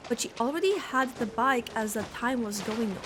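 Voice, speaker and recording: A woman's voice: a little high, animated, moderate pace, noisy background. A young woman gaming streamer giving casual, giggly, rambling reaction commentary as she plays.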